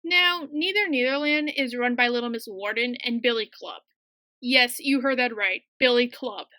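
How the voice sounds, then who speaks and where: A woman's voice: a little high, moderate pace, bright, forceful tone, no room sound. A young woman narrating a casual scripted video review, chatty and full of wry asides.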